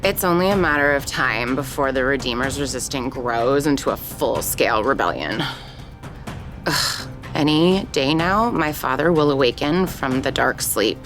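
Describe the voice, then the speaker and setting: A woman's voice: rumbling low, expressive, moderate pace, over music. A woman playing an imperious, impatient villainous ice ruler in an audio drama table read, haughty and commanding. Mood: exasperated.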